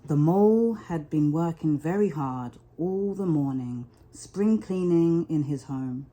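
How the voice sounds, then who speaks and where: A woman storyteller reading a children's story expressively, with deliberate, dramatic phrasing. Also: low-pitched, lively intonation, warm tone.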